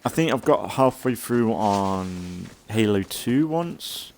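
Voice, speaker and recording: A man giving casual, rambling commentary as a let's play host, thinking aloud in a halting way and sounding slightly congested. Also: on the low side, animated, darkish tone, a little hiss or hum. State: slightly congested from an oncoming cold.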